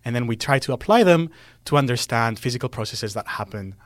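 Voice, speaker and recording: A man's voice: medium pitch, lively intonation, fairly quick, no echo. A middle-aged man, a non-native speaker whose first language is Greek, explaining earthquake science as a guest, earnest and full of fillers. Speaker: guest seismologist. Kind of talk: explanatory interview talk.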